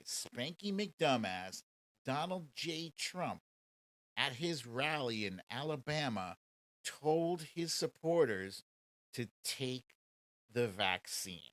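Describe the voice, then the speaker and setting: A man's voice: mid-range voice, fairly bright tone, no echo. A man hosting a casual political commentary livestream in a sarcastic, mocking and profane manner. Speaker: show host.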